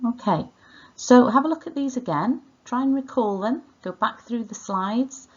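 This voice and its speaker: A woman's voice: on the high side, lively intonation, moderate pace, slightly rough, lo-fi sound. A woman with a Welsh accent teaching an online language lesson, enunciating and repeating words in an instructional way.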